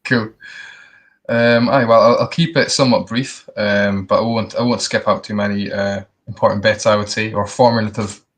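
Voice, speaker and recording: A young man, telling his personal story casually in a halting, reflective way. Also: fairly low pitch, fairly even intonation, fairly bright tone. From Scotland and the Netherlands. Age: young adult. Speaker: interview guest.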